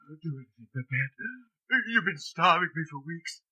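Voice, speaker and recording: Tense, frightened radio-drama prisoner. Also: male voice, on the high side, lively intonation, moderate pace, bright, forceful tone, slightly rough, dull audio, exaggerated voice. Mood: desperate, pleading. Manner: anguished, earnest. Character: frightened, broken, starved male political prisoner. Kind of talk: old-time radio drama acting. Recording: band-limited old radio recording with music.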